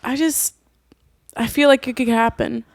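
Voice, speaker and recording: A woman's voice: a little high, fairly even intonation, unhurried, no room sound, clean studio sound. A young woman from Boston co-hosting a podcast, reflective and questioning in casual chat. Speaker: podcast co-host.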